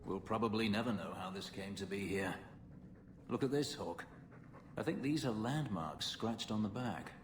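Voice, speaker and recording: An actor playing an earnest, opinionated companion with a serious manner in a fantasy video game. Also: male voice, lowish voice, normal speed, lightly acted. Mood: thoughtful. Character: earnest brooding male companion, opinionated freedom lover. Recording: echoey hall reverb, noisy ambience behind.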